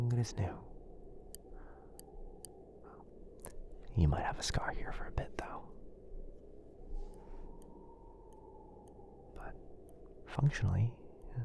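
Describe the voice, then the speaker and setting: A man playing a gentle, caring wizard healer in an intimate ASMR roleplay, murmuring soothingly with hesitant pauses. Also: deep voice, gravelly. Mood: reassuring.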